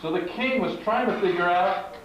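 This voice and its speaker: A man reading scripted storytelling narration for a puppet show in a measured, halting way. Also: high voice, fairly bright tone. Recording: echoey hall, far off, dull, very noisy. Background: heavy background noise.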